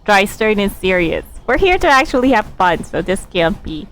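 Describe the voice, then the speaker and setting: A woman game streamer giving casual live commentary, thinking aloud in a halting way. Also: mid-range voice, sing-song, fairly bright tone, soft background music, low-quality recording.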